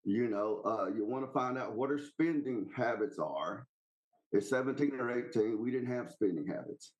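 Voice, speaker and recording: A Southern-accented man who calls in to a podcast and holds forth in a casual, rambling way. His voice sits at an ordinary middle pitch. He talks bluntly, in plain folksy country phrases. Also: moderate pace, a little mellow, rough recording. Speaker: podcast caller. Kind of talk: casual opinionated chat.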